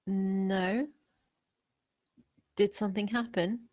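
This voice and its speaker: A woman playing a timid, apologetic young servant in a period screenplay read, speaking softly and hesitantly. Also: mid-range voice, steady tone, slow pace, no echo, clean studio sound, close to an everyday voice. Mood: meek. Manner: soft, hesitant.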